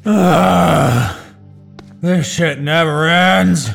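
Exasperated, gravelly facility lackey. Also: male voice, a little high, very slow, a bit bright, faint music behind, full character voice. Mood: straining, grumbling. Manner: sighing, grumbling, foul-mouthed. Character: exasperated male staffer at a sinister facility, crude lackey. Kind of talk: audio drama acting.

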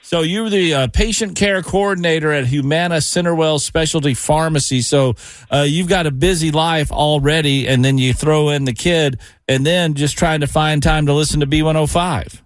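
A man's voice: fairly high pitch, normal speed, no room sound. A man hosting a morning radio show, upbeat and folksy with a sing-song delivery. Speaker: radio host.

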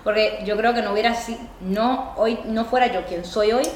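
A woman's voice: on the high side, steady tone, normal speed, roomy sound, a little hiss or hum. A woman, a lawyer and singer, chatting as a guest in an earnest, emphatic way. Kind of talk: casual chat.

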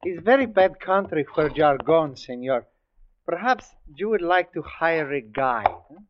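Radio drama bit part: deferential, nosy Mexican trader, accented, raised male pitch, dull vintage recording. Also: very animated, measured pace, noisy background. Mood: obliging. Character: obliging, inquisitive Mexican male horse trader. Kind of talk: 1940s radio drama acting.

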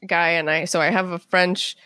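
A woman being interviewed as a writer, telling personal stories in a conversational, animated way. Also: fairly low pitch, moderate pace, dry recording.

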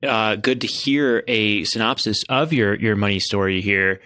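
A man hosting a financial interview, asking measured guiding questions. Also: lowish voice, pushed and bright. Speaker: financial advice host.

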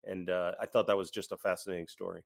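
A man's voice: deep voice, little pitch movement, fast talker, vocal fry. A man co-hosting a podcast, giving earnest, reflective conversational commentary. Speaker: podcast co-host.

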